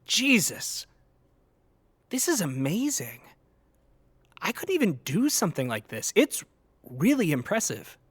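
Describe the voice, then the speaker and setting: A man's voice: sits high, wildly swooping pitch, measured pace, long pauses, a bit bright, husky, dry recording, heavily acted. A man playing a teasing, curious young art student in an audio drama, bantering casually. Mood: impressed.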